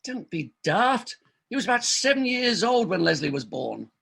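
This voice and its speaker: A man's voice: high-pitched, big pitch swings, normal speed, creaky, a bit bright, slightly rough, heavily acted. A man playing a desperate, insistent married doctor who cajoles a colleague in a stage farce. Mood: desperate pleading. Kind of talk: stage farce readers theater acting.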